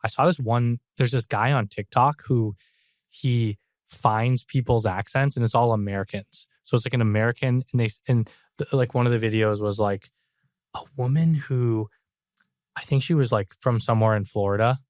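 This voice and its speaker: A man's voice: mid-range voice, moderate pace, a little mellow, dry recording, studio quality. A man hosting a podcast, chatting casually with his guest and rambling with lots of "like.". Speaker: podcast host.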